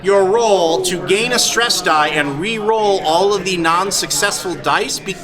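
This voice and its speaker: A man acting as game master at a live tabletop game, explaining rules and narrating in a halting, informal, encouraging way. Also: high voice, over music. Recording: noisy live convention stream, loud crowd behind. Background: crowd noise.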